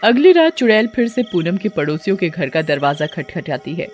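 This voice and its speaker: A woman's voice: lowish voice, sing-song, moderate pace, over music. A woman giving scripted narration of a story as its narrator.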